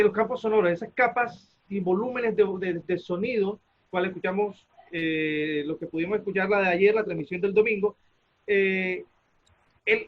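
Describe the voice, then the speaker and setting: A man speaks as a panelist. He builds his sentences haltingly, feeling for each word, and the audio is a little dull. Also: high-pitched. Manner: halting, searches for words. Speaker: theater practitioner and panelist. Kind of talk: casual panel discussion.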